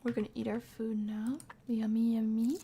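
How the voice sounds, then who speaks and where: Higher-voiced woman streaming a game, slangy. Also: level tone, moderate pace, a little hiss or hum. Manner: slangy, reacting aloud and thinking out loud. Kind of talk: casual live horror-game playthrough commentary, talking to chat.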